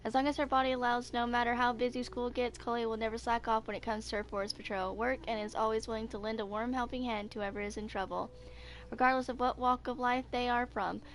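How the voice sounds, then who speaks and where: A woman's voice: on the high side, steady tone, fast talker, slight background noise. A woman in her twenties casually reading video game character profiles aloud for her viewers. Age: 20s.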